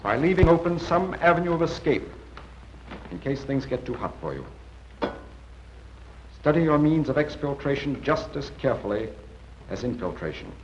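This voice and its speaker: A man playing a seasoned, assured intelligence briefing officer in a 1940s training film, giving measured, plain-spoken advice. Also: medium pitch, very animated, a little hiss or hum, natural voice. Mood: assured, advising. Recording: muffled 1940s film soundtrack.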